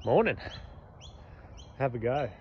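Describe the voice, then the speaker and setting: A man's voice: mid-range voice, very animated, slow. An Australian man chatting casually through a solo camping and touring vlog, laid-back and rambling. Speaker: touring vlogger. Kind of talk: casual travel vlog narration. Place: outdoors at a campsite and in a car. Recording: dull lo-fi sound with clear outdoor and engine noise.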